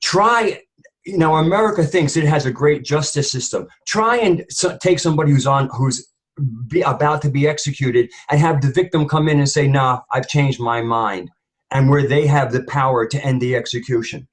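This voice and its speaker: A man's voice: mid-range voice, normal speed. A man speaking as a panelist in an opinionated discussion, rambling and emphatic, often repeating phrases for weight.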